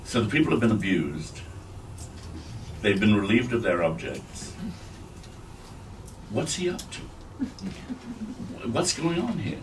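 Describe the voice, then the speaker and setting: Male event questioner, deep voice, deliberate broken phrasing, picked up at a distance through noticeable noise. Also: big pitch swings. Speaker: participant putting a question to the author. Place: indoor bookstore event. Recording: distant mic, clear background noise.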